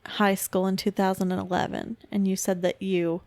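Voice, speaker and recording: A woman's voice: mid-range voice, flat delivery, moderate pace, darkish tone, dry recording. Easygoing young woman co-host. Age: 20s. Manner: explains patiently, laughs easily. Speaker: podcast co-host. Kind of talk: casual chat.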